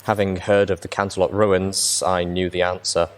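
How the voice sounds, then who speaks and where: Low-voiced man narrating a story. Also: little pitch movement, normal speed, slight background noise. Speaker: audiobook narrator. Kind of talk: first-person dramatic story narration.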